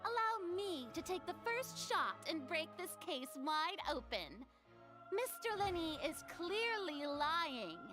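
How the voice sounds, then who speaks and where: A woman's voice: very high-pitched, sing-song, takes it slowly, music in the background, heavily acted. An actress playing a haughty, theatrical young woman prosecutor in a video game, pompous, dramatic and taunting. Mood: boldly accusing.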